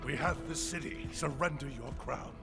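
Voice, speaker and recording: An actor playing a stern, fearless war commander in an animated fantasy cinematic, with a grave, commanding delivery. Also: male voice, mid-range voice, moderate pace, natural voice. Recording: loud music behind the voice.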